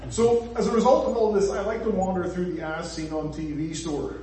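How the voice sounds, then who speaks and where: A chatty Northern Irish preacher, a man speaking a little high for his sex and tossing jokes and asides to the room. He sounds far from the microphone, and his voice rings around a very echoey church hall. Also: fairly even intonation, a little fast, crowd noise. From Northern Ireland. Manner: chatty and joking, with asides to the congregation. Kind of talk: conversational sermon.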